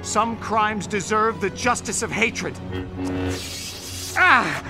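Intense, high-pitched vengeful dark-side man. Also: expressive, slow pace, loud music behind the voice. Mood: menacing. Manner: theatrical, intense. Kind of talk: audio drama acting.

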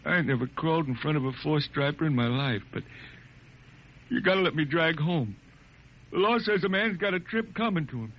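Man acting folksy old blind spaceman, high-voiced. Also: expressive, lightly acted. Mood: pleading. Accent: American. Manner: folksy drawl with halting repetitions. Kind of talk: 1950s radio drama acting. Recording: muffled, very noisy 1950 radio recording.

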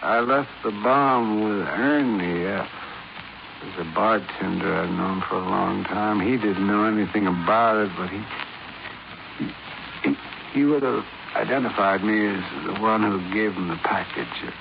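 Dying American hypnotist con man, low gravelly voice, weary halting confession, 1950s radio crime drama. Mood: fading, strained. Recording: muffled, noisy 1950s radio recording.

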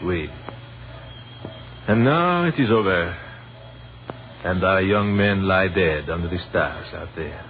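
Courteous French captain, old narrow-band radio. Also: male voice, low-pitched, lively intonation, heavily acted. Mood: solemn. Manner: formal, courteous, measured phrasing. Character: courteous, philosophical French artillery captain in wartime. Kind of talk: old-time radio drama acting.

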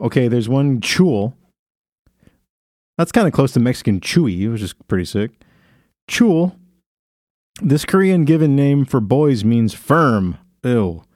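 A man's voice: mid-range voice, darkish tone, no room sound. Man, podcast host, casual crude banter, laughs often, dry close mic. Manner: crude, giggly, reading aloud from a phone. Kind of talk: casual comedic chat.

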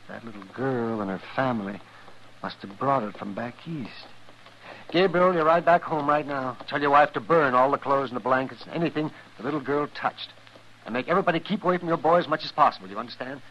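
A man playing a folksy, crusty town doctor in an old radio western, with an American accent and an expressive delivery. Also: medium pitch, brisk, close to an everyday voice. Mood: grave, urgent. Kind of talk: 1950s radio western acting. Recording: dull, hissy old radio recording.

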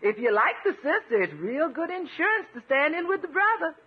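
High, swooping radio housekeeper. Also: female voice, big pitch swings, a little fast. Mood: cheerfully deferential. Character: cheerful, deferential woman housekeeper-cook, shrewd. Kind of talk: 1950s radio comedy acting. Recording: dull, hissy 1950s radio recording.

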